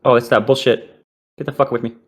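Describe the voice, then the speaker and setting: A young man streaming a game live, reacting excitably and swearing as he plays. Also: mid-range voice, fairly quick. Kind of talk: live gaming commentary.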